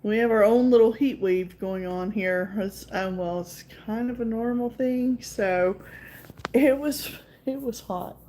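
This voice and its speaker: A woman's voice: a little high, a little slow, dark tone. A woman with a Southern accent, casually chatting with her viewers on a livestream in a rambling way, full of fillers. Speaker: livestream host.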